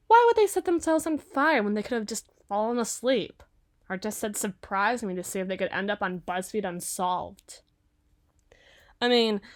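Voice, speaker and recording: A sardonic young detective with a sharp, obsessive streak, voiced by a woman in an ASMR roleplay. She talks in a sarcastic, teasing way and lingers on morbid details. Her voice sits at an ordinary middle pitch. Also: natural voice.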